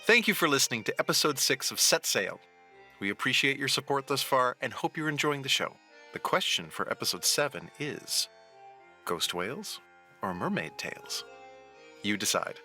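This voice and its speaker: A man's voice: medium pitch, big pitch swings, moderate pace, sharp tone, faint music behind. A man narrating a scripted adventure story as its storyteller.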